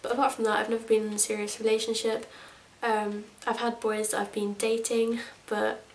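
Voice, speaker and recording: A woman's voice: a little high, flat delivery, fairly quick, a little hiss or hum. A woman in her twenties from England, chatting casually to camera as a vlogger, rambling with lots of fillers. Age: 20s. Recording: echoey room, mic at a distance.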